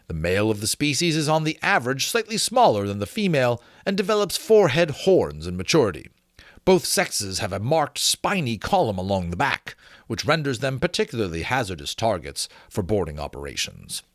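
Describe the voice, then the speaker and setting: पढ़कर सुनाता पुरुष, बहुत उतार-चढ़ाव भरी आवाज़, सूखी रिकॉर्डिंग. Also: male voice, mid-range voice, dry recording, clean studio sound. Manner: expressive, emphatic reading. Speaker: host reading a novel aloud with his own asides. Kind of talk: dramatic audiobook-style reading with casual asides.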